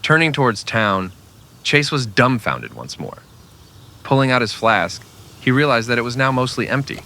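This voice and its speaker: A man narrating a scripted western audio drama as a dramatic, measured storyteller. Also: medium pitch, big pitch swings, pushed and bright.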